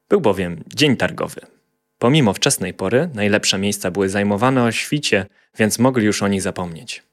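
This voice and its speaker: A male storyteller reads a scripted fairy tale for children. His voice is on the low side, and his intonation is very lively and varied.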